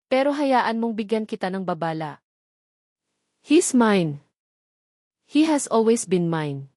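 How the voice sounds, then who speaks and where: A woman's voice: medium pitch, sing-song, takes it slowly, darkish tone, dry recording, studio quality. A woman voicing a sarcastic, jealous rich young woman who threatens a rival and slips English into her speech. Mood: threatening.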